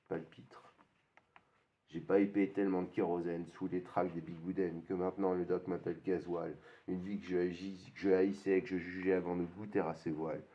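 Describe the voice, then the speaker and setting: A man's voice: sits low, dark tone. A man in his thirties performing a rhythmic rap freestyle with a recurring hook, on a dull lo-fi recording. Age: 30s. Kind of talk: rap freestyle over a beat.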